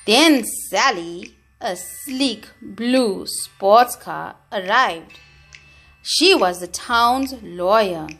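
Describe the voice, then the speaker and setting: A woman reading a children's storybook aloud, with expressive, deliberate and emphatic phrasing. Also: mid-range voice, faint music behind. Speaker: storybook reader.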